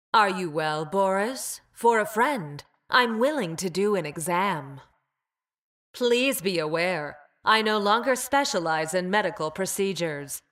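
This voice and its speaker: Female engineered creature, video game, coldly logical, formal, deadpan, unhurried measured delivery. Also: medium pitch. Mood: detached, stern. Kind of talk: video game character voice lines.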